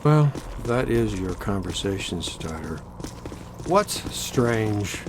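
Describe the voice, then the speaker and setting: An actor playing a laid-back, wisecracking middle-aged ghost hunter in a video game, dry and sardonic. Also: male voice, lowish voice, big pitch swings, slow, background noise. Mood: wry.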